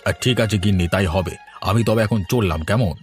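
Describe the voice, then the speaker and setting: Village husband for cartoon, male actor: low pitch, folksy, good-natured coaxing, faint music. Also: a little fast, close to an everyday voice. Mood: genial, coaxing. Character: genial, food-loving village husband, early riser. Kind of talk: cartoon dialogue. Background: instrumental music.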